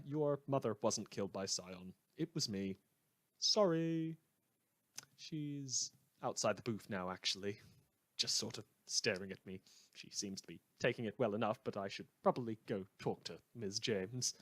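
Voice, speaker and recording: A man's voice: fairly low pitch, unhurried, no echo, voice pushed down, natural voice. A man playing a villainous, anarchic radio host who tells stories in a theatrical, dramatic scripted monologue. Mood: sheepish. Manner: theatrical, storytelling with dramatic emphasis.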